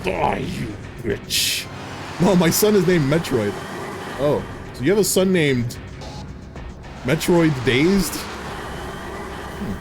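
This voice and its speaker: An excitable man in his thirties gives casual commentary as he plays, cracking jokes and laughing often. His voice sits a little high for a man and swings widely in pitch. Game music plays clearly behind him. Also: slow, long pauses. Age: 30s. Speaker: game streamer. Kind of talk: casual live gameplay commentary.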